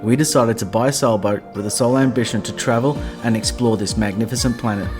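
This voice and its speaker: A man's voice: medium pitch, fairly even intonation, quick pace, music in the background. An Australian man hosting a sailing channel, reading scripted, upbeat voice-over narration.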